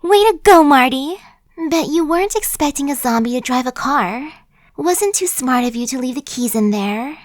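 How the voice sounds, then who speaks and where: A woman's voice: high voice, lively intonation, moderate pace. A woman playing a chatty, sly young female zombie in an ASMR roleplay, talking in a slangy, cajoling, buddy-like way. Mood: smug. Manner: casual slangy wheedling, buddy-like.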